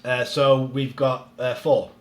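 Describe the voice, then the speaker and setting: Man, relaxed podcast guest, slightly nasal from a cold, faint room noise. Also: medium pitch, slow. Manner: relaxed, rambling, laughs easily. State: head cold, a bit nasal. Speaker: strongman coach. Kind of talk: casual podcast chat.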